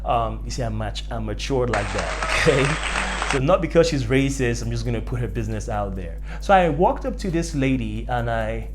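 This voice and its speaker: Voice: medium pitch, lively intonation, normal speed, over a noisy crowd, very noisy. A young adult with a Nigerian accent telling personal stories in an emphatic way, repeating phrases for effect. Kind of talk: animated storytelling to camera.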